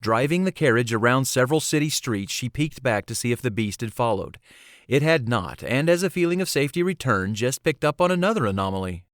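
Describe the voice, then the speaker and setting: A man's voice: medium pitch, animated, brisk, no echo, studio quality. A man narrating a scripted fantasy story as an audiobook narrator. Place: close, dry recording space.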